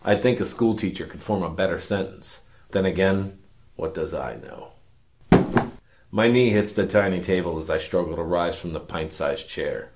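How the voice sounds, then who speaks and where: Man playing a gravelly, low hard-boiled detective narrator. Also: normal speed, slight background noise. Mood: wry. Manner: wry, self-important, deadpan. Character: cynical world-weary hard-boiled male detective narrating his case. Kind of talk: noir parody radio drama narration.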